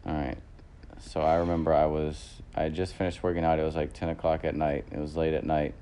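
Man in his 30s, very low voice, rambling casual chat, lots of fillers. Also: flat delivery, fairly quick, mellow, clear, resonant tone. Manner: rambling, self-correcting, many fillers. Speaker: podcast co-host.

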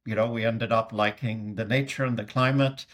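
A man's voice: on the low side, steady tone, normal speed, a bit bright. A middle-aged man from Sweden with a Swedish accent, a guest answering interview questions in a hesitant way, with many fillers and restarts. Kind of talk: conversational interview.

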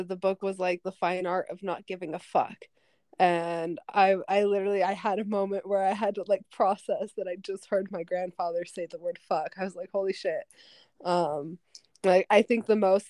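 A woman in her twenties, a podcast guest in casual chat, who speaks calmly and fills her speech with "like". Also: lowish voice, moderate pace, dry recording. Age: 20s.

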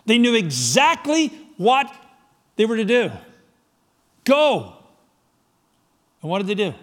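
A middle-aged man from Scotland preaching a sermon to his congregation, firing rhetorical questions, swinging from loud emphasis to soft, low asides. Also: wildly swooping pitch, slow. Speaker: pastor.

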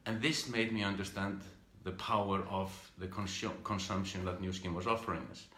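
A middle-aged Icelandic man with a fairly low, bright, forceful voice speaks informally in a roomy, echoing space. His English carries an Icelandic accent, and he moves haltingly through his recollections, often repeating a word before going on. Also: fairly even intonation. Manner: halting, with repeated words and restarts. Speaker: speaker giving a business training talk. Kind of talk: informal live talk, personal recollections.

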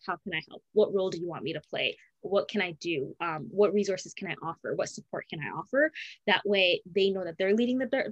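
A woman's voice: mid-range voice, dry recording, dull audio. A woman being interviewed, answering in an earnest, conversational way, often restarting and correcting herself. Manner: earnest, fast, with frequent restarts and self-corrections. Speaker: interviewee, student advisor.

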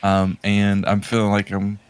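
A man's voice: fairly low pitch, monotone, a little slow, smooth, dry recording. A man in casual chat as a podcast guest, rambling and slangy with a jokingly exasperated manner.

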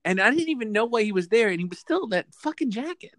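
Animated, incredulous podcast co-host. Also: mid-range voice, quick pace, no echo, studio quality. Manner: animated, incredulous, stammers when excited. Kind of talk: casual movie recap chat.

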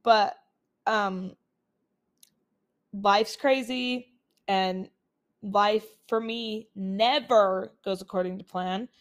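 A woman's voice: medium pitch, fairly even intonation, very slow, long pauses. A young woman from Utah hosting a casual solo podcast on a laptop's built-in mic, chatty and upbeat with many fillers, her voice still recovering from colds. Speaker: podcast host.

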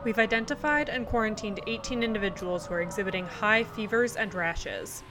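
An actress playing a worried military medical officer giving a report in an audio drama. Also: female voice, medium pitch, fairly quick, noisy background, lightly acted. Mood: concerned.

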